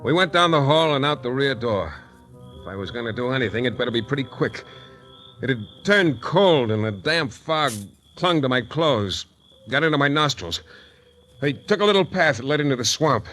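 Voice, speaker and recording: A man plays a tough private eye in a 1940s radio drama. His voice is mid-pitched and bright, with a clipped, wry, intense hardboiled delivery. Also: expressive, normal speed, faint music behind, close to an everyday voice, rough recording. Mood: tense, wry. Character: tough, wry male private detective narrating his case. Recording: 1940s radio broadcast recording.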